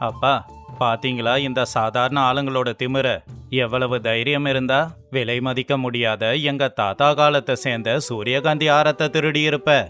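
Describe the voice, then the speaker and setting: A man playing an arrogant, hot-tempered young prince in an animated folk tale. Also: medium pitch, moderate pace, lightly acted. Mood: angry, contemptuous. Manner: haughty, demanding. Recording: music behind the voice.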